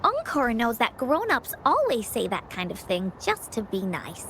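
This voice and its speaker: A woman's voice: a little high, huge pitch swings, normal speed, noisy background. A woman voicing a quirky, confident little girl in a video game, with playful, childlike pep. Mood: earnest. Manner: speaks of self in third person, childlike pep. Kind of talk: video game character acting.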